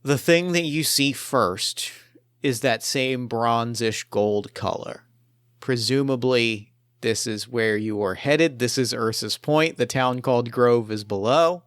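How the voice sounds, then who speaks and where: A man narrating an improvised tabletop game as game master, with deliberate, halting, dramatic phrasing. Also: mid-range voice, a bit bright, smooth, dry recording, studio quality. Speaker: game master and podcast host.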